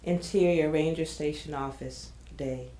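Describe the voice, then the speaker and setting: A woman's voice: low-pitched, fairly even intonation, unhurried, warm tone, rough recording. A woman narrating an audio drama, reading screenplay stage directions in a scripted style.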